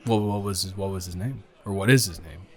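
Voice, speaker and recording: A man's voice: low voice, fairly quick, a little mellow, lightly acted. A man playing a smitten, awkwardly playful friend with benefits in an improvised romantic audio roleplay, speaking hesitantly and teasingly. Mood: awkward, jealous.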